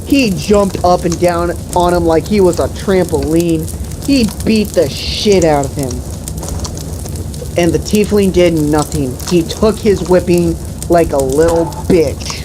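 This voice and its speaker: A woman telling an improvised comic fantasy story among friends in a deliberate, profane, mock-epic manner. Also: fairly low pitch, noisy background. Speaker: storyteller and host.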